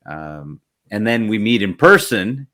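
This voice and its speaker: Host: man with a lowish voice, halting and tentative as he asks questions. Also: big pitch swings, a bit bright. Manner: hesitant, many fillers and restarts. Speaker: podcast host, interviewer. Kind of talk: casual interview conversation.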